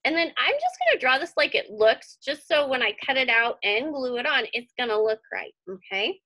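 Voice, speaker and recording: A woman's voice: high voice, sing-song, brisk, bright, forceful tone. A woman giving a casual step-by-step craft tutorial for children, chatting and thinking aloud in a reassuring way. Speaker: craft teacher. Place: indoor room at home.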